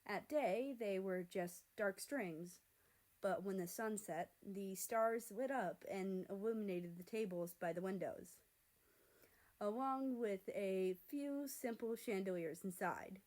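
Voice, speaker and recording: Young female story reader, average pitch, scripted fiction narration. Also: slow pace, a little mellow, rough recording.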